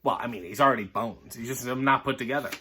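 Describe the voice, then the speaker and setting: Bright-voiced man, wryly reading aloud. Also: medium pitch, quick pace, slightly rough. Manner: sardonic, deadpan asides. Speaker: storyteller reading a children's picture book. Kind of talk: storybook reading with wry comic asides.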